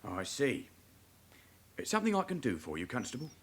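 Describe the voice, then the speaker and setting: Cocky young lad, lively voice. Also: male voice, medium pitch, brisk. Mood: breezy, cocky. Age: young adult. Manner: casual, smoothly confident. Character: cocky, unruffled young male London criminal, a son. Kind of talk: radio drama acting.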